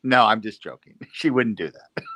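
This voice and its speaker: Guy teasing his buddy in casual chat, bright voice, pitch bouncing all over. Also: expressive, normal speed, rough recording. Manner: teasing, playful.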